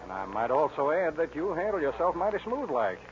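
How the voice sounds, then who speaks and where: An actor playing a shrewd, older American cattle baron with a folksy, smooth-talking manner in a 1940s radio western. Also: male voice, a little high, big pitch swings, brisk. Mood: sly, approving. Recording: dull old radio, crowd noise behind.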